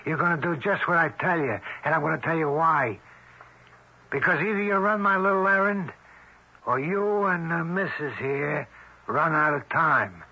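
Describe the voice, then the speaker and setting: Sharp, husky American mobster. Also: male voice, on the high side, moderate pace, heavily acted. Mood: menacing. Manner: streetwise slangy talk, bossy and threatening. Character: menacing Italian-American mobster, male fugitive, slangy and bossy. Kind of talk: old-time radio drama acting. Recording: muffled vintage radio broadcast.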